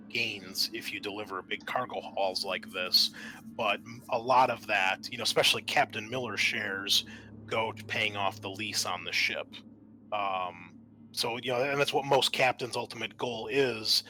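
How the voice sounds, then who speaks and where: Bright-voiced man running a tabletop game. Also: fairly low pitch, a little hiss or hum, low-quality recording. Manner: conversational, explanatory. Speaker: game master. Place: online voice call.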